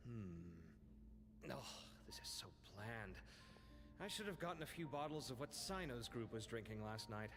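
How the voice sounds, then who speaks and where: A man playing an expressive, fussy young male scholar in an anime video game, chatty and dramatic. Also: mid-range voice, a little slow, in a large hall, over music.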